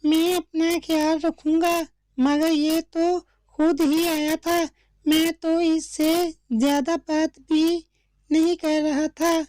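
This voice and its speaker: A woman's voice: very high voice, level tone, slow, a little mellow, no echo. A woman voicing a small, earnest, obedient young male bird chick in a cartoon story. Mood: obedient, reassuring. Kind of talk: slow cartoon story dialogue.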